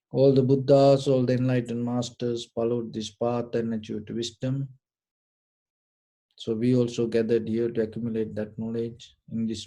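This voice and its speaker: A man leading a guided meditation, giving short, deliberate instructions in a gentle, soothing manner. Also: mid-range voice. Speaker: meditation teacher.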